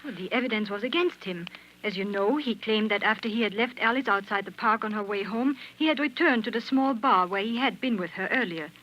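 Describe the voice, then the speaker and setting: An actress in a 1940s radio drama playing a knowing shop proprietress who tells a tragic tale in a measured, formal way. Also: female voice, mid-range voice, fairly bright tone, lightly acted. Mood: grave. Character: grave, knowing dress shop proprietress telling a tragic story. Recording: dull, noisy old radio recording.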